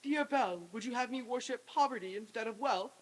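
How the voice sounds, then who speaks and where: A woman's voice: fairly high pitch, fairly quick, natural voice, rough recording. A woman playing a shy, earnest young man courting his sweetheart in a radio-play reading, bashful and hesitant. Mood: pleading. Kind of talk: old-time radio stage reading.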